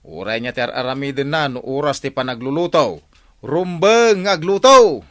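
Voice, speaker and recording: A man's voice: medium pitch, normal speed, fairly bright tone, dry recording, clean studio sound, lightly acted. A man playing a stubborn, lazy gambling husband and father in an Ilocano comedy radio drama, blustering and complaining. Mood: scolding.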